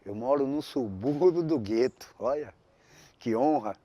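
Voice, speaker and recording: A man tells his life story in a documentary interview. He speaks haltingly, often restarts his phrases and ends many of them with tag questions. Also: mid-range voice, animated, warm tone, dry recording, muffled recording. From São Paulo, Brazil. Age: 40s.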